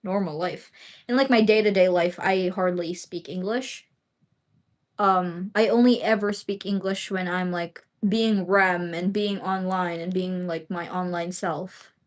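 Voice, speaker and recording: A woman's voice: lowish voice, steady tone, measured pace. Woman streamer from the Philippines, Bisaya speaker, loose rambling chat full of fillers and casual swearing. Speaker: livestreamer.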